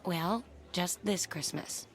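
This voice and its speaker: A wary, exasperated mother in a radio drama. Her voice sits a little low, and she talks in a clipped, scolding way. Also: takes it slowly, soft background music. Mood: explaining.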